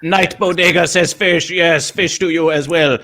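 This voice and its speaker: A man's voice: fairly high pitch, steady tone, unhurried, bright, forceful tone. A man playing a quirky third-person mascot character. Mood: playful. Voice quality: stilted odd grammar, constant "yes". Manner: theatrical, swooping storytelling delivery. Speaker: guest. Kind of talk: in-character livestream chat.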